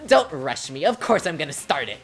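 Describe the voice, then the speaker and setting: A woman's voice: deep voice, very animated, brisk, music in the background. A woman voicing a gleeful, taunting male bear mascot in a video game, in a sing-song, mock-cute and menacing manner. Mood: mock-hurt, bouncy. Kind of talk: voicing game dialogue on a stream.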